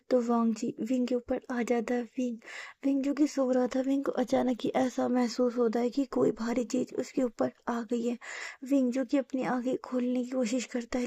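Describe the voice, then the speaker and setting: A hoarse young woman narrates in a soft, often whispery voice that is mellow and a little high. The sound is dry and close, with almost no room. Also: no echo. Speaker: storyteller, fan fiction author. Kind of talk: storybook narration.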